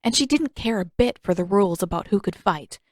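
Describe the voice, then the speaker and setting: A woman narrates fiction in the manner of an audiobook, reading from a script. Her voice sits at a middle pitch. The recording is dry, with hardly any room sound around her. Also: animated, a little fast, darkish tone, dry recording.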